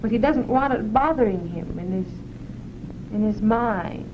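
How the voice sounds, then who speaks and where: A woman answering questions as a subject in a filmed test, making simple, insistent statements, often slowly. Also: medium pitch, animated. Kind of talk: unscripted answers in an experiment. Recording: dull, hissy 1940s film soundtrack.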